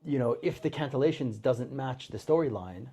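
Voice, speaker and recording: Brief: male podcast host, mellow warm tone, chatty and quick to agree. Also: mid-range voice, lively intonation, slightly rough. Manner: chatty, eager agreement, frequent "right". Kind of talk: casual conversation.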